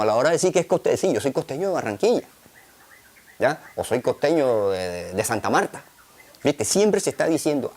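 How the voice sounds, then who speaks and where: A man's voice: medium pitch, dark tone. A man from Colombia's Caribbean coast with a coastal accent, talking in a documentary interview, full of fillers and tag questions. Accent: Colombian Caribbean Spanish.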